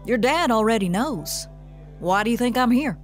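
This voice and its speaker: Actress doing tough lady police chief, sarcastic and bossy, higher voice that swoops around, podcast drama. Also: lively intonation, normal speed, faint music behind, natural voice. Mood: stern. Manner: sarcastic, folksy, authoritative. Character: tough, sardonic, commanding female police chief. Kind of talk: scripted audio drama acting.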